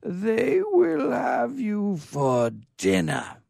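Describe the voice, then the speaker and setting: A man plays a mysterious old man from a storybook tale. He speaks high and slowly, drawing out each warning with an ominous air. The sound is close and dry. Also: huge pitch swings, gravelly, darkish tone, no echo, exaggerated voice. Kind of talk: amateur storybook read-aloud.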